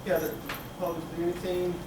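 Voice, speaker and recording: A middle-aged man from Turkey giving a technical conference talk, halting with many fillers and restarts, recorded from the back of a conference room. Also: high voice, completely flat delivery. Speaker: conference speaker. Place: conference room with projector screen. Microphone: room camera from the back of the hall. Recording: echoey hall, speaker far from mic, room noise.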